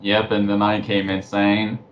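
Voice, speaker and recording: Dull lo-fi sound, faint noise, man, mid-pitched, casual commentary host. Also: completely flat delivery, measured pace.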